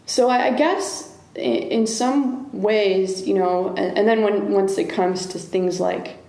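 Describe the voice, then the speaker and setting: A woman's voice: mid-range voice, fairly even intonation, slow, mellow. A woman, a guest in an interview, talking things through thoughtfully and often restarting her sentences. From United States. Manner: thoughtful, searching for words, many restarts. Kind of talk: reflective interview conversation. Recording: echoey room.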